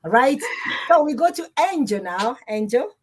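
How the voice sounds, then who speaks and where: A woman with a slightly husky, fairly high voice hosts a live online discussion. She speaks emphatically and draws out key phrases slowly. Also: sing-song. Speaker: host. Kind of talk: live online panel talk.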